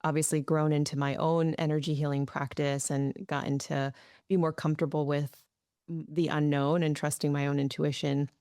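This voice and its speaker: A woman's voice: deep voice, level tone, moderate pace, mellow, no room sound, studio quality. A woman from the United States hosting a podcast interview, talking through ideas thoughtfully. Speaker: podcast host. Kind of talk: casual interview chat.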